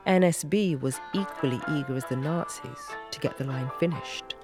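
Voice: mid-range voice, normal speed. A narrator reading scripted historical documentary narration for an audio series. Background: prominent music.

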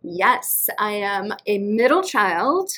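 A woman's voice: mid-range voice, big pitch swings, fairly bright tone. A woman in her thirties from Western Massachusetts with a slight local accent, talking as an interview guest in an upbeat, enthusiastic way. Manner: upbeat, enthusiastic, quick bursts. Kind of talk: casual interview.

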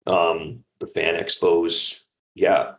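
A man's voice: low-pitched, flat delivery, very slow, fairly bright tone. A man chatting casually and enthusiastically as an interview guest, rambling with plenty of "like" and "you know". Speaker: audio drama creator and producer, guest. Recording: echoey room.

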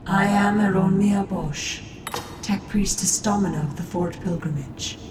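A woman voicing a calm, confident tech-priestess who leads a cult. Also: medium pitch, a little slow, roomy sound, noisy background, natural voice. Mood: imperious. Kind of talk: fan audio drama acting.

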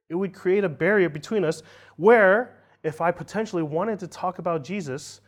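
A man in his thirties gives a church sermon in a slightly high voice. He keeps it conversational, checking in with "right?" and circling back to repeat key points. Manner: conversational, often tags lines with "right?"; restarts and repeats phrases for emphasis. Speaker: preacher.

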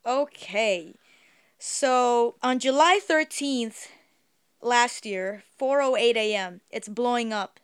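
A woman's voice: on the high side, slow, clear, resonant tone, no echo, studio quality. A woman chatting playfully with friends, sometimes reading from her notes. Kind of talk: casual podcast chat.

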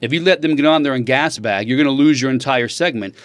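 A man's voice: medium pitch, fast talker. A man co-hosting a casual podcast chat, easygoing and steering the talk along to the next topic. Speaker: podcast co-host.

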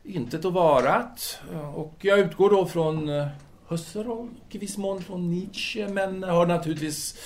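A man playing a self-absorbed intellectual philosopher in an audio drama, speaking formally and hesitantly, wordy and absent-minded. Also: high-pitched. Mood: detached, pedantic.

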